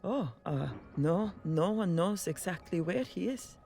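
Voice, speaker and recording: A jittery, superstitious woman, acted by a woman, in an audio drama, talking slowly in halting, broken English. Faint music plays behind her. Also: lowish voice, animated, dark tone. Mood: fretful. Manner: nervous, halting, broken English with Spanish words. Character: frightened superstitious woman, café worker. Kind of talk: audio drama table read.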